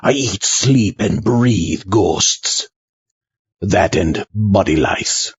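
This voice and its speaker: A man voicing a gruff old pirate with a gravelly voice and drawling pirate speech in a comedy audio drama. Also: low-pitched, extremely slow, lo-fi sound, exaggerated voice. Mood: hearty, boastful. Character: old salty pirate, gleefully gruff ghost veteran.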